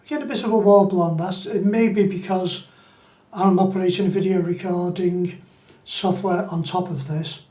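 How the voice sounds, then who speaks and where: A man with a noticeably high-pitched voice presents an informal, unscripted tutorial. He explains each step as he demonstrates it on screen. The recording has a clear room echo around the voice. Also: steady tone, moderate pace, darkish tone.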